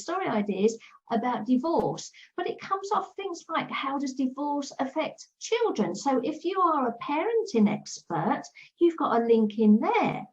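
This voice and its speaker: A woman's voice: high voice, muffled recording. A woman talking as a guest expert, giving direct, practical PR advice in a casual chat and often repeating her points.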